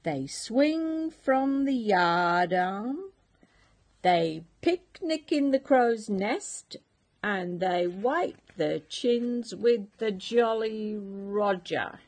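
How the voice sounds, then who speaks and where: A woman voicing a gruff, stubborn male pirate captain in a children's storybook. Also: mid-range voice, expressive, drawn-out words, a little mellow, full character voice. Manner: growling, bossy.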